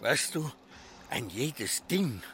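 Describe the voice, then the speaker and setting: A man's voice: medium pitch, big pitch swings, very slow, bright, forceful tone, soft background music, heavily acted. A middle-aged actor with an Austrian accent playing an obsessed, embittered farmer father in a literary radio drama, brooding and incantatory in manner. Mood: rapt musing.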